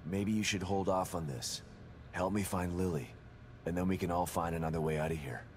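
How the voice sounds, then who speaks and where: Grim middle-aged American game detective, played by a man, very deep voice, brooding, faint noise behind. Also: fairly quick, natural voice. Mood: doubtful. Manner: brooding, skeptical. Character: weary, grim, guarded male detective father. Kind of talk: video game cutscene acting.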